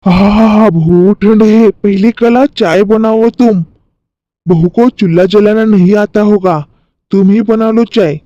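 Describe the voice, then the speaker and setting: A man's voice: high voice, steady tone, slow, dark tone, no echo. A man voicing a bossy, needling older husband and father-in-law in a cartoon story, on a slightly muffled recording. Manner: instructing, needling.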